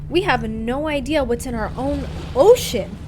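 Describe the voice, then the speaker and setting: A woman's voice: high voice, expressive, unhurried, darkish tone, slight background noise. A woman hosting a livestream, chatting casually and giving her opinions in an informal, conversational way. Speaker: livestream host. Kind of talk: casual chat over gameplay.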